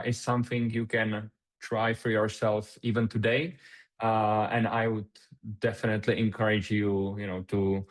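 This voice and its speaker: A man from the Czech Republic whose first language is Czech, giving a technical webinar presentation over a video call, with frequent filler sounds and restarts. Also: lowish voice. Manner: hesitant, many fillers and restarts.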